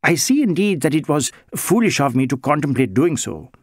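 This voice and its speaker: A man's voice: on the high side, very animated, moderate pace, darkish tone, breathy edge, no room sound, clean studio sound. A man doing a flustered fussy social worker. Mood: relieved. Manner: pleading, flustered, wordy. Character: anxious fussy middle-aged male social worker.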